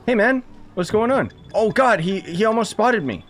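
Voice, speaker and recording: A man's voice: sits high, expressive, moderate pace. A man giving casual, slangy live game commentary as a streamer, opinionated and rambling. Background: faint game music.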